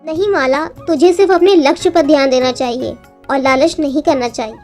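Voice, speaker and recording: Narrator as brave village woman, very high girlish voice, earnest, resolute, storybook heroine, faint music. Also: a little fast, darkish tone, natural voice.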